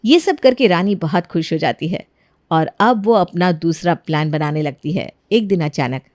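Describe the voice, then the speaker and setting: A woman's voice: fairly low pitch, animated, normal speed, darkish tone, lightly acted. A woman giving scripted narration for a family moral story.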